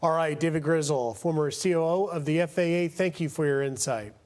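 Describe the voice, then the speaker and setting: A male American news anchor in his fifties presents live television. His voice sits a little high for a man, with the delivery of a morning broadcast interviewer. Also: a little fast, a little mellow. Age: 50s.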